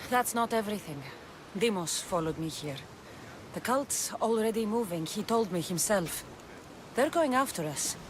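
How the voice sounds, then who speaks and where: A woman's voice: medium pitch, lively intonation, normal speed, background noise, natural voice. An actress voicing a determined young female mercenary hero, firm and earnest in a video game cutscene. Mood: resolute. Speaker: game protagonist. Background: music.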